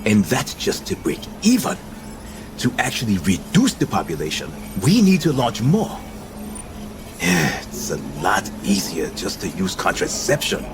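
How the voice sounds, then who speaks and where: An actor playing a cynical, world-weary visionary leader in a science fiction audio drama, theatrical and dismissive. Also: male voice, fairly low pitch, wildly swooping pitch, a little slow, noisy background, exaggerated voice.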